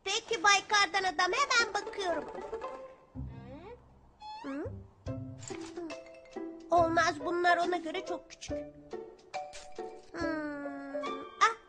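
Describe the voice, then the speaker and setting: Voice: very high-pitched, takes it slowly, pauses often. A childlike voice playing an eager, affectionate little bear cub in a children's cartoon. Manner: childlike, exclaiming. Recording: music behind the voice.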